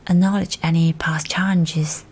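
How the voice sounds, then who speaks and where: A woman reading a scripted guided meditation in a soft, soothing, breathy delivery. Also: sits low, steady tone, a little slow. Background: music.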